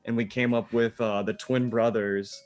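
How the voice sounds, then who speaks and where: A man's voice: mid-range voice, level tone, moderate pace, soft background music. A man talking casually as a podcast co-host, reminiscing among friends. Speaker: podcast co-host, sketch comedy maker.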